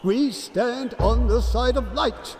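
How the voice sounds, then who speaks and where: A defiant warrior chief in a game cinematic. His voice sits fairly high for a man and moves slowly and solemnly. It rings in a long reverb over faint noise. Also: a little mellow, soft background music. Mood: defiant, resolute. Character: defiant male barbarian leader guarding his city gates. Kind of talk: dramatic game cinematic acting.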